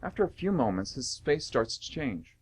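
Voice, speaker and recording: Narrator, male, mid-pitched, lively intonation, scripted stage directions for radio drama. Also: normal speed, husky.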